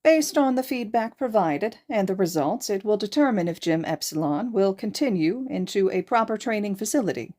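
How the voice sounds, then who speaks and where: A woman's voice: mid-range voice, animated, normal speed, hardly any pauses, a little mellow, voice pushed up, lightly acted. A woman voicing a courteous school principal in a story, making a formal, projected announcement to students. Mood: formal, upbeat. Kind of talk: audiobook character dialogue, announcement.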